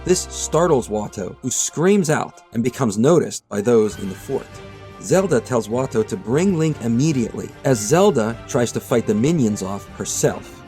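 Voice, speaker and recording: A man reading scripted, storybook-style narration in a measured, dramatic storytelling manner. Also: medium pitch, expressive, warm tone. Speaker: narrator of a manga retelling video. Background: background music.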